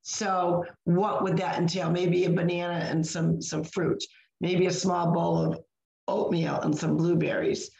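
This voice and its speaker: A hoarse woman, co-hosting a chatty podcast and often correcting herself mid-sentence. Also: lowish voice, level tone, measured pace, a little mellow, echoey room, lo-fi sound. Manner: rambling, self-correcting. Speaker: co-host of a wellness podcast. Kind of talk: casual chat.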